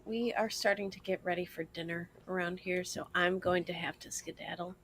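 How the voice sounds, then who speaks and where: A woman's voice: lowish voice, steady tone, moderate pace, a bit bright, slight background noise. A woman drops in on a casual chat and talks playfully. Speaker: host's partner dropping in.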